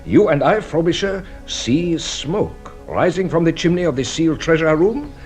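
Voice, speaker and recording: Detective, radio drama, self-assured, courteous, very lively intonation, savours each revelation, music underneath. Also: male voice, fairly high pitch. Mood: explaining, assured. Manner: theatrical, deliberate explaining, savouring each revelation. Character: shrewd theatrical French police detective, courteous and self-assured.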